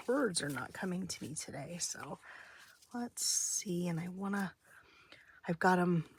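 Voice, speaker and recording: A woman's voice: on the low side, background noise. A woman with a slightly stuffy, nasal voice chatting casually and thinking aloud as she hosts a craft session at her desk, meandering and interrupting herself. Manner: hesitant, meandering, self-interrupting. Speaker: craft video host.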